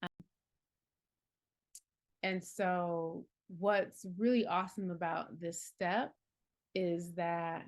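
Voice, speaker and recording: A woman in her early forties speaks in a casual, reflective way, sitting a little low in her register. She sounds earnest and encouraging, laughs readily, and leans on "like" as she talks about healing. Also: gravelly. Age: 40s. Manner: earnest and encouraging, laughs often, many "like" fillers. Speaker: herbalist and healing coach.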